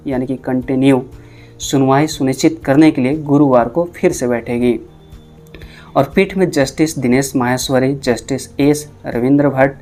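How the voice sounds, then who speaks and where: An Indian man hosts a news update in a mellow, slightly dark voice of middling pitch. He reads out reports and rephrases points to explain them, with music playing clearly behind him. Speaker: host of an exam and job news channel.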